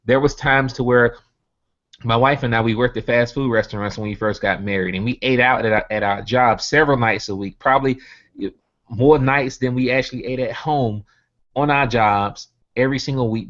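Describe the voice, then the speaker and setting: A man's voice: medium pitch, a little fast, a bit bright, lo-fi sound. A man from Birmingham, Alabama, with a Southern accent, giving an online motivational business presentation, stacking rhetorical questions and repeating his key points. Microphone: computer mic on a video hangout.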